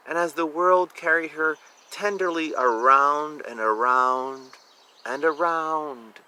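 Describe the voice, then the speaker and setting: Male storybook reader, slightly high and bright, animated delivery for young listeners, informal asides between lines. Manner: expressive, informal, drawing out words, commenting on the pictures. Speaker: children's book reader. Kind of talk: animated storybook read-aloud with casual asides.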